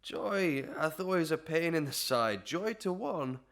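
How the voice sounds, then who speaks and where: A man's voice: fairly high pitch, expressive, a little slow, fairly bright tone. A man playing a pompous, stammering comic beadle who talks in flowery phrases, in a Victorian melodrama. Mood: flustered, mournful. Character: pompous, lovestruck comic beadle with genteel pretensions. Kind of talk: Victorian melodrama audio acting.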